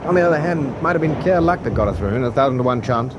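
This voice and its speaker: A man playing a brisk, respectful naval lieutenant in a wartime radio drama, clipped and chatty. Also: medium pitch, muffled recording, lightly acted. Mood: speculative. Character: brisk, respectful British destroyer first lieutenant. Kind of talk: WWII radio drama acting. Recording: steady ship ambience noise behind.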